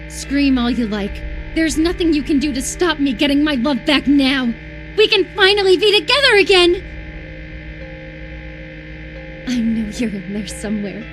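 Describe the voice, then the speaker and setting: A woman's voice: sits high, poor audio. A woman voice-acting an unhinged, obsessive lover in a horror visual novel, intense and volatile, swinging between tenderness and menacing fury. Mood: obsessive longing. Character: unhinged, obsessive lover convinced an impostor replaced their beloved.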